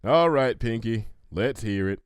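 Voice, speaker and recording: A man's voice: mid-range voice, animated, a little slow, smooth, dry recording, voice pushed down, lightly acted. A man voicing a tired, plain-spoken adult female farm pony with a folksy, wry way of talking. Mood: wary prodding.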